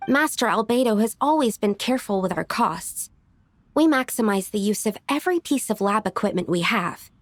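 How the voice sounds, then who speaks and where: A woman's voice: mid-range voice, lively intonation, moderate pace, no echo, close to an everyday voice. A woman voicing a meticulous young female alchemist in a video game, explaining things earnestly. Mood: earnest, relieved. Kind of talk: video game character dialogue.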